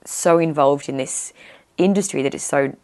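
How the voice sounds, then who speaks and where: A young woman in her twenties, a guest musician with a low voice, chatting casually and filling pauses with "um". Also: a little mellow. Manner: chatty, fills pauses with "um", laughs easily. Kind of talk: casual interview chat.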